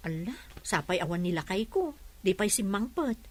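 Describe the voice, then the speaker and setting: A woman with a Filipino accent acting in a radio drama as an obliging, unhurried village neighbour. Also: medium pitch, big pitch swings, no room sound. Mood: calm, obliging.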